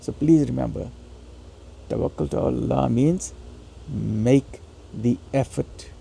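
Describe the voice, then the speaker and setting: A man with a raspy voice giving a motivational religious lecture, urging listeners emphatically and repeating phrases for stress. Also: medium pitch, takes it slowly, warm tone, slight background noise. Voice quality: raspy, gravelly.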